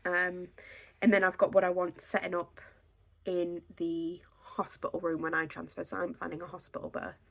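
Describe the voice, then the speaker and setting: A young woman with a slightly raspy, croaky voice, chatting casually to a camera on a tripod at home, giving pregnancy advice. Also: lowish voice, flat delivery, moderate pace, a bit bright. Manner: chatty, filler-heavy, reassuring. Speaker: pregnancy vlogger. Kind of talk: casual instructional talk to camera.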